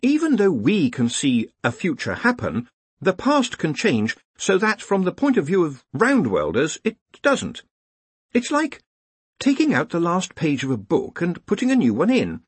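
Man voicing an earnest, high-pitched young wizard scholar. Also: wildly swooping pitch, a little slow, slightly rough, no room sound, full character voice. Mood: earnest explaining. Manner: hesitant, deferential, careful explaining. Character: earnest young academic wizard, hesitant, deferential, over-explaining.

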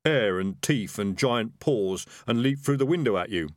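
A man playing a cautious, chatty highwayman in a storybook reading, matter-of-fact and methodical. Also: animated, moderate pace, no echo, studio quality, lightly acted. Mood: methodical, wary.

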